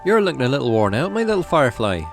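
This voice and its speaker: A man's voice: medium pitch, very animated, racing, creaky, music in the background, voice pushed up. A man voicing a caring, playful dad in a video game, speaking in an affectionate, encouraging way. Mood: concerned.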